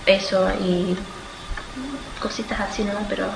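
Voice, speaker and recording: An eighteen-year-old woman from Australia answers interview questions informally, haltingly searching for words and correcting herself. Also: medium pitch, level tone. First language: Spanish. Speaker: student being interviewed. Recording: echoey room with clear background noise.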